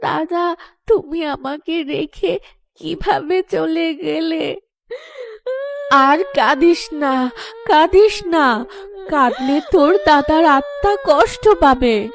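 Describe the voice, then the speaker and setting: A woman's voice: sits high, gravelly, heavily acted. A woman playing an emotional, plaintive village woman in a Bengali horror cartoon. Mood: wailing grief. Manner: plaintive, drawn-out.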